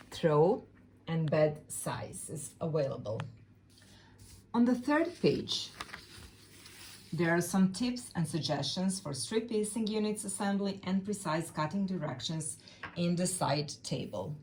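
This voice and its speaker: A woman's voice: on the low side, animated, slow pace, pauses often, a little mellow, slight background noise. A woman from Serbia with a Serbian accent, speaking English as a second language while explaining a quilt pattern step by step, now and then searching for words. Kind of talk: sew-along tutorial.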